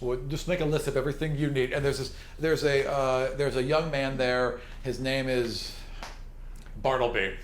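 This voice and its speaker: A man's voice: medium pitch, steady tone, normal speed. A man working as game master, improvising descriptions for a tabletop game in a casual, conversational way. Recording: roomy echo, clear background noise.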